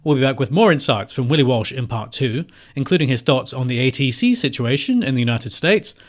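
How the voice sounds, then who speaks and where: A man's voice: medium pitch, expressive, fairly quick. A man hosting a podcast, reading scripted narration and introductions. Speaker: podcast host.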